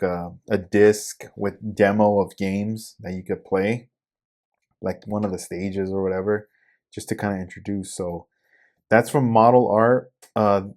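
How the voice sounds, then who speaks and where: A man's voice: lowish voice, darkish tone. A man in his forties hosting a casual podcast, talking loosely about new hobby shop stock with lots of fillers. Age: 40s. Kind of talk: casual product rundown.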